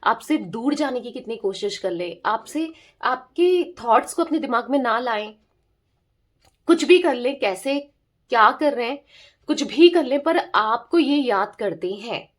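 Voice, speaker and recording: A woman with a sore, hoarse throat giving a casual tarot reading, chatting with listeners and often checking in with them. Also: on the high side, lively intonation, unhurried. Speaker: tarot reader.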